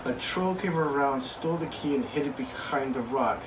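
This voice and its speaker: A young man co-hosting a board game show, laid-back and laughing, narrating his story slowly. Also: mid-range voice. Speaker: co-host. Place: small room indoors. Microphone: far camera mic. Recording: echoey room, distant mic, background noise.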